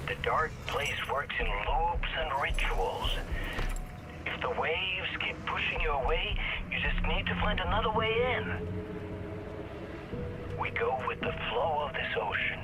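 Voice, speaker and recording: An actor playing a mysterious, urgent, manic caller who rambles cryptically over a telephone. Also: male voice, on the high side. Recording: noisy crackling phone line.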